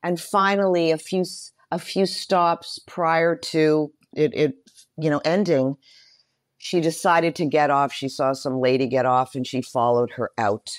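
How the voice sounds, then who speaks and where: A woman's voice: low voice, fairly even intonation, unhurried, dry recording, clean studio sound. A middle-aged woman speaking as an interview guest, telling personal stories expressively, repeating phrases for emphasis. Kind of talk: personal storytelling in conversation.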